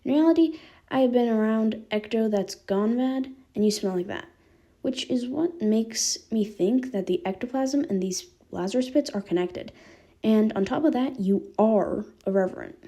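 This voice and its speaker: A woman's voice: fairly high pitch, moderate pace, warm tone, lightly acted. A woman voicing a candid, irreverent teenage boy with ghostly powers in a fan fiction reading. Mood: explaining, teasing.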